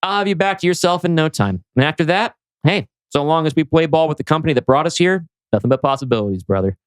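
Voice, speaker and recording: A man's voice: a little high, expressive, fast talker, smooth, dry recording, voice pushed up, close to an everyday voice. A man reading the part of a breezy, slangy buddy in a science-fiction script, with a flippant manner. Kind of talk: script table read.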